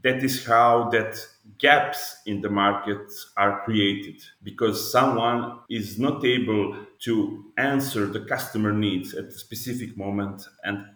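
A man's voice: fairly low pitch, fairly even intonation, takes it slowly, few pauses, fairly bright tone, reverberant. A man from Serbia with a Serbian accent, giving explanatory interview answers step by step with examples. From Belgrade, Serbia. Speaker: podcast guest, e-commerce business owner.

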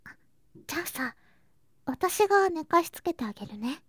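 A woman playing a sweet, clingy younger girl cousin in an ASMR voice drama, soft and breathy, often whispering, with a cutesy, affectionate manner. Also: very high-pitched, very animated, moderate pace, dry recording, clean studio sound. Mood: sleepy, tender. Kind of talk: Japanese ASMR situation voice drama. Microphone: close ASMR microphone.

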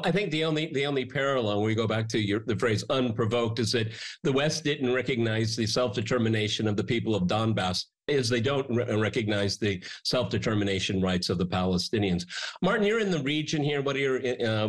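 A man of mature years hosts a political panel discussion. His voice sits at a medium pitch. He makes his points emphatically and punctuates them with short checking questions. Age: middle-aged or older. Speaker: talk show host.

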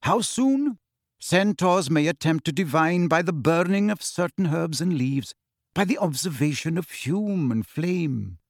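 A man voicing a calm, aloof male centaur teacher in an audiobook, speaking in a measured, solemn, formal way. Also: fairly high pitch, no echo, studio quality, pitched above the performer's own voice. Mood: grave, prophetic. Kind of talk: acted audiobook dialogue.

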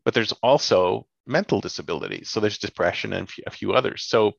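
Sarcastic guy with a gravelly voice chatting on a podcast, dry dull sound. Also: lowish voice, animated, fairly quick, fairly bright tone, no room sound. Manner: sarcastic, laughs often. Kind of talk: casual chat.

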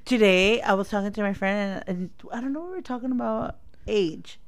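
A woman's voice: medium pitch, fairly quick. A woman in her thirties chatting casually as a podcast co-host, blunt and teasing, laughing easily and slipping into Spanish now and then. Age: 30s. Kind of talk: casual chat.

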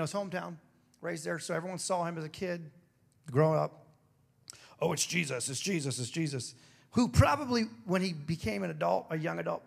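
A man preaching a sermon with a throaty, hoarse voice, moving between emphatic declarations and casual, joking asides. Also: on the high side. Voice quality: gravelly, throaty. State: hoarse after singing. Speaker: pastor.

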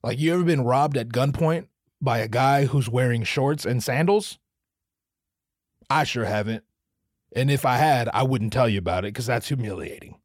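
A man's voice: medium pitch, moderate pace, no echo, studio quality. A man from the US Northwest hosting a solo comedy podcast, rambling and cursing with lots of restarts. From US West Coast, Pacific Northwest. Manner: profane, rambling, self-interrupting. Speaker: podcast host.

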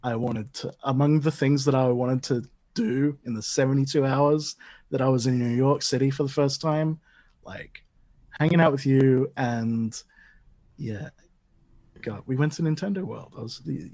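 An enthusiastic man in his forties chats as a podcast guest, his accent a blend of Australian, Dutch and British. His voice sits at an average pitch. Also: fairly even intonation, moderate pace, vocal fry, dark tone. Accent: mixed Australian, Dutch and British. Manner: chatty and enthusiastic, lots of restarts. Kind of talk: casual podcast chat.

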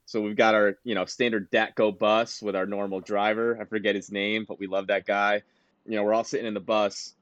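A man's voice: fairly low pitch, flat delivery, a little fast, a bit bright, no echo, dull audio. A man co-hosting a podcast, telling rambling anecdotes broken by laughter in a casual chat. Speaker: podcast co-host.

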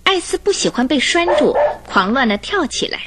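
A woman narrator telling a scripted radio drama story in an expressive, suspenseful style. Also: sits high, moderate pace, heavy background noise. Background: music under the voice.

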